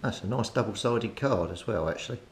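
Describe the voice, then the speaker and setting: A British man giving a casual how-to commentary, thinking aloud and muttering informally to himself as he works. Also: fairly quick. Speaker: hobbyist video host. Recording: steady background noise with low rumble.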